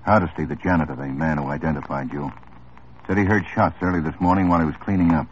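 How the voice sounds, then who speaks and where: An actor playing a hard-nosed police detective in an old radio drama, interrogating with quick, probing questions. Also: male voice, a bass voice, fairly even intonation, a little hiss or hum. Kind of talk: 1950s radio drama acting. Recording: muffled old radio broadcast.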